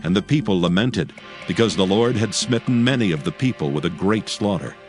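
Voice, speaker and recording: A man narrates a dramatized audio Bible in a low voice with lively rises and falls. He is solemn and measured, with faint music behind him. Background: faint background music.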